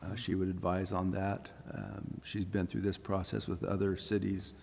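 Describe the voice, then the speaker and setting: Male official, heavy vocal fry, hesitant explanations, reverberant room with faint noise. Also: low-pitched, completely flat delivery, normal speed, a little mellow. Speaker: city official. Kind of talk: explaining answers at a public meeting. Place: council chamber.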